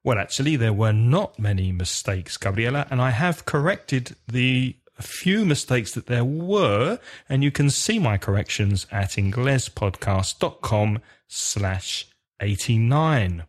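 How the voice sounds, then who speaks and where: A man hosting an English lesson podcast, explaining carefully in a slow, clear teacher's manner. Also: mid-range voice, lively intonation. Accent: British.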